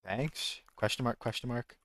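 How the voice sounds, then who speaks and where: A man's voice: medium pitch, moderate pace, a bit bright. A man with an American accent chatting casually as a game streamer, rambling and jokey, often correcting himself mid-thought. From United States. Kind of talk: casual livestream chat.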